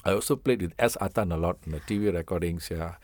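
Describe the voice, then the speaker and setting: A man's voice: low-pitched, quick pace, darkish tone, no room sound. A middle-aged or older man with a Singaporean accent, a musician casually telling anecdotes in an interview.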